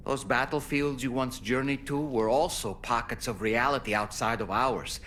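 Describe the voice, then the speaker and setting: An actor playing a quirky, scholarly adviser with a wordy, formal manner in a video game. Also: male voice, mid-range voice, moderate pace, fairly bright tone, a little hiss or hum, lightly acted. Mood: explanatory. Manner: wordy, formal, old-fashioned turns of phrase. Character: loquacious, scholarly, quirky male adviser to a courier.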